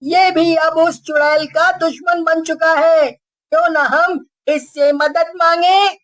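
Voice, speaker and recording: Young cartoon boy, played by a woman: very high, slow, careful speech, earnest and observant. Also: fairly even intonation, fairly bright tone, full character voice. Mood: earnest, worried. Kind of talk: Hindi cartoon character acting.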